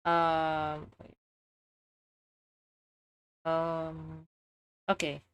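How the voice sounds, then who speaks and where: A woman's voice: sits low, monotone, drawn-out words, clean tone. A woman giving casual commentary as she plays a video game, often thinking aloud absent-mindedly. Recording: faint game music behind. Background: video game music.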